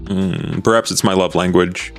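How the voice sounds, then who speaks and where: A man voicing a brooding, enigmatic male vampire who speaks in a deliberate, formal, roundabout way in improvised roleplay. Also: sits low, fairly even intonation, creaky, faint music behind. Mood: wistful, guarded. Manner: deliberate, formal, talks in circles. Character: brooding, soft-spoken, enigmatic male vampire fixer named Duke.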